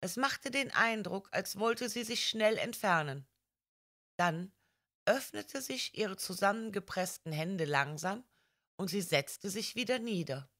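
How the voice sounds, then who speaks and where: A woman reading a classic crime story as an audiobook narrator in a measured, careful storytelling manner. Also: medium pitch, animated, a bit bright.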